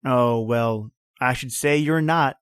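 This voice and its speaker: An actor playing an obsessed inventor in an old time radio horror drama, coaxing and insistent with an eerie cheer. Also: male voice, mid-range voice, slow, a bit bright, clear, resonant tone, dry recording, clean studio sound. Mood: eerily cheerful.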